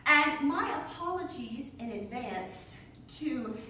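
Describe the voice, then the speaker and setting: A woman performing a comedic theatrical monologue, flustered and halting, often interrupting herself. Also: high-pitched, animated, pushed and bright, slight background noise. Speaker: solo stage performer. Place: indoor performance space before an audience. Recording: echoey stage hall, distant microphone.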